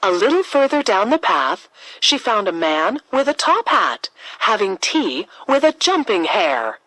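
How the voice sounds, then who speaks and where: Casting: female storyteller for kids' tales, slow-paced and very melodic, dry recording. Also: mid-range voice, very animated, a bit bright, no echo, poor audio. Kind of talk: scripted children's story narration.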